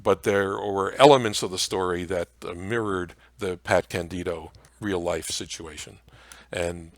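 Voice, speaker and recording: Low-pitched American man giving an informal lecture. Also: steady tone, unhurried, no echo. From Mount Vernon area, New York, United States. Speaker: presenter, radio history lecturer. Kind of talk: informal slide lecture on old-time radio history.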